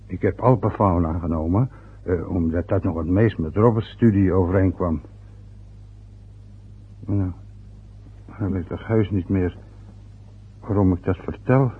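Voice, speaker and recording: An actor playing a fretful, rambling father turning sixty in an old radio play, with a husky voice. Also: deep voice. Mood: musing. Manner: rambling, loses his thread. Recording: phone-like lo-fi old radio recording.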